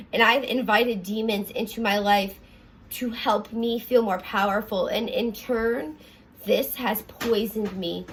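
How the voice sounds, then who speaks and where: A young woman with a raspy voice, talking earnestly in a rambling confessional vlog from her apartment, full of fillers and restarts. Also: medium pitch, a little hiss or hum, poor audio. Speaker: vlogger.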